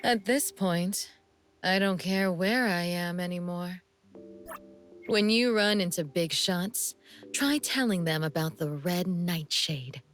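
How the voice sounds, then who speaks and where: Slow, seductive assassin woman. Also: lowish voice, soft background music. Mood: languid menace. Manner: languid, teasing, deliberate, sometimes breathy near-whisper. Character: seductive, sadistic female assassin, coolly amused and menacing. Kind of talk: video game character voice lines.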